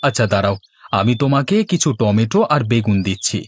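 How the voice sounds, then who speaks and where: A man's voice: lowish voice, expressive, fast talker. A man playing a good-natured, easygoing village fisherman and husband in a Bengali moral-story cartoon. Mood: easygoing, friendly.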